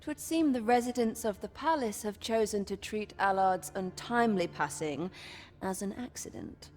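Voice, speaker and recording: An actress playing a seductive, vengeful masked madam in a fantasy game, speaking in measured, theatrical, archaic phrases. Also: female voice, mid-range voice, animated, a little mellow, faint music behind, natural voice. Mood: grateful, intimate. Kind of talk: fantasy video game voice acting.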